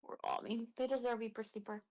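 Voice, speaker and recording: A woman's voice: a little high, level tone, a little fast, darkish tone, dry recording. A woman chatting casually on a stream in a playful, slangy way.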